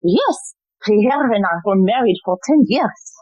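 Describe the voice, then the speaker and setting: A woman's voice: mid-range voice, animated, takes it slowly, a little mellow, slightly rough, no echo, muffled recording. A woman playing a testy, unsentimental widowed French housekeeper in a farcical audio play, dry and indignant.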